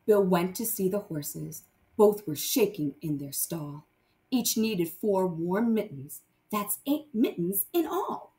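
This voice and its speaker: A woman teaching primary math to young children, reading aloud and explaining deliberately with sing-song emphasis on key words. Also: mid-range voice, expressive, warm tone, echoey room.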